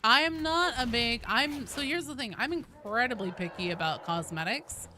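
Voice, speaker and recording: A woman's voice: a little high, lively intonation, normal speed, pushed and bright, a little hiss or hum. A woman streaming a game, chatting casually in a blunt, rambling, sweary way as she plays. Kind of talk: casual chat while playing a game live.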